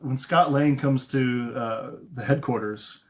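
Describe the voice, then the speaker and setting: A man's voice: mid-range voice, a little slow, heavy background noise. A man talks casually about film as a podcast co-host, with a mid-range voice. He explains as he goes, slipping into retold dialogue. The sound is dull and lo-fi, recorded over an internet call. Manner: explanatory, retells scenes with quoted dialogue. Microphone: Skype call.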